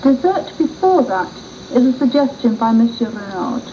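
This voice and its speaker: A woman's voice: a little high, very animated, moderate pace, warm tone. A woman reading a scripted first-person historical story for radio in a formal, literary manner. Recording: muffled 1980s radio tape with hiss.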